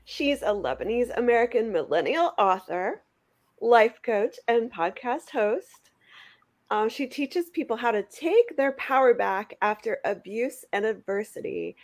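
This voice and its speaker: A woman's voice: on the high side, animated, measured pace. A woman podcast co-host reading sponsor notes and introductions in an upbeat, welcoming way. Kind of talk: scripted podcast intro and hosting. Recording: music bed under the voice.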